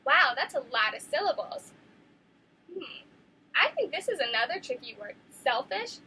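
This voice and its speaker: A young woman teaching a class, reading a story aloud to children in an encouraging, animated way and stopping to ask them questions. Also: high voice, normal speed, slight background noise, low-quality recording. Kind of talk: classroom read-aloud lesson.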